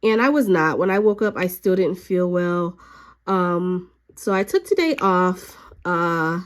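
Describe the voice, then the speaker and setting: A woman hobby vlogger who is a little sick and a bit raspy, chatting casually with lots of fillers while she works at her craft desk at home. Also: on the low side, unhurried. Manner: chatty, relaxed, frequent fillers.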